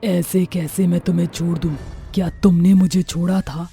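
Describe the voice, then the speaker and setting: A woman's voice: on the low side, level tone, moderate pace, vocal fry, dark tone, soft background music. A woman voicing a vengeful, sorrowful witch ghost in a horror story, with a dramatic, threatening delivery. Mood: vengeful anger. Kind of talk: Hindi horror story voice acting.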